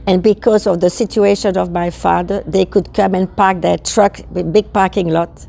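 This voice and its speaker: An elderly woman from Belgium whose first language is French, speaking English with a Belgian French accent. Her voice is low, dark and gravelly. She searches for words and repeats herself as she recalls her childhood. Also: steady tone, normal speed. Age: 80s. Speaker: guest. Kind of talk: recounting childhood memories.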